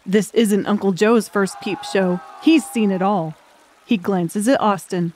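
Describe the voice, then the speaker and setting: Female audiobook narrator, medium-pitched voice, scripted dramatic storytelling. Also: unhurried, darkish tone, a little hiss or hum.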